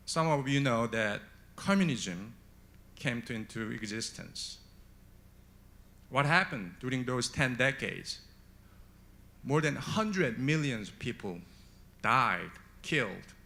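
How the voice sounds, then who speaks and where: A man's voice: medium pitch, sing-song, slow, gravelly, long pauses, sharp tone. A man from Korea, speaking at a conference panel in an emphatic way, with rhetorical questions. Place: conference hall on stage.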